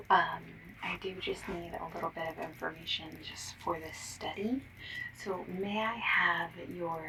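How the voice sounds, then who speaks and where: A woman playing a shy, creaky soft-spoken survey caller. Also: on the low side, recorded from a distance, a little hiss or hum, full character voice, low-quality recording. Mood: apologetic, polite. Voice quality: soft, breathy, often slipping into whisper. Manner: hesitant, apologetic, rambling, gentle. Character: shy, nervous, polite young woman phone survey caller. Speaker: ASMR roleplay performer. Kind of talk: soft-spoken ASMR roleplay with whispering.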